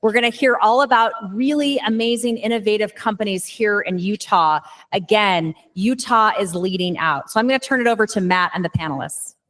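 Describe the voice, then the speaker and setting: A woman's voice: medium pitch, a little fast, hardly any pauses, fairly bright tone. An American woman emceeing a conference and introducing the next panel with enthusiasm. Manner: upbeat and enthusiastic. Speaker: event emcee. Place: conference hall.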